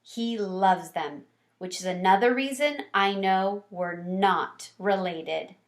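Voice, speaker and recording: A woman's voice: mid-range voice, takes it slowly. A woman voicing a small, cheerful, sentimental pet hamster, narrating a storybook with earnest wonder and expression. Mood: wistful.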